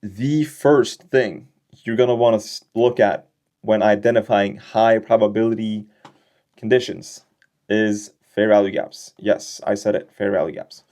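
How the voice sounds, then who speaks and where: A man's voice: on the low side, steady tone, unhurried, warm tone. A young man giving a casual trading lesson over his screen, explaining in a loose, chatty way with slangy, joking asides and frequent check-in questions. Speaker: trading educator.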